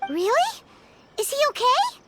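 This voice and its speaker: A woman voicing a small, excitable fairy companion in a video game, squeaky, childlike and expressive. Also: a little slow, very noisy, full character voice. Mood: curious, worried. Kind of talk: scripted video game voice acting. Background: music.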